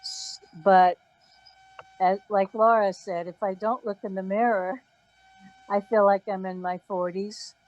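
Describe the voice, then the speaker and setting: A woman in her seventies speaking thoughtfully in a community group discussion, with frequent hesitations. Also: medium pitch. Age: 70s. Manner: slow, even, thoughtful, with hesitations. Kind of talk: casual group sharing. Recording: dull dry lo-fi audio.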